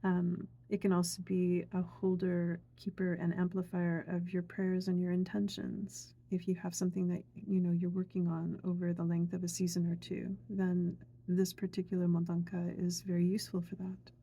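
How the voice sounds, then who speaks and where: Soft-spoken woman teaching a folk craft. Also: on the low side, completely flat delivery, vocal fry, slightly rough. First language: English. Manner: gentle, unhurried, with frequent fillers and asides. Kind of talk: calm step-by-step craft demonstration.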